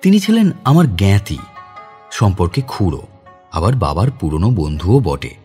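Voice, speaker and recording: A man's voice: low-pitched, big pitch swings, moderate pace, mellow, soft background music. An actor playing a kind, reflective man visiting his home village, narrating the dramatised story himself. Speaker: narrator and lead character.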